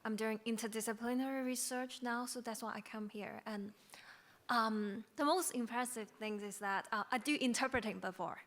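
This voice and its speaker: A woman's voice: on the high side, moderate pace, a bit bright. A woman from Macau, a native Cantonese speaker with a Cantonese accent, asking a question from the floor as a researcher.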